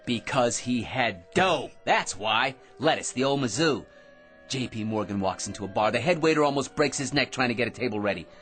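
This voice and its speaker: An actor in an old-time radio drama playing a boastful, excitable barfly who chatters in wheedling slang. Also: male voice, medium pitch, moderate pace, faint music behind, natural voice. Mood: worked up. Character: boastful, excitable, talkative male barfly with a magic gizmo.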